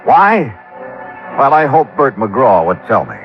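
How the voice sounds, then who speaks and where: Wry radio detective, dull old recording. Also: male voice, medium pitch, sing-song, faint music behind. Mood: wry narration. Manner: brisk, wry, clipped. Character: wry, hard-boiled freelance insurance investigator. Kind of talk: 1950s radio detective drama acting and narration.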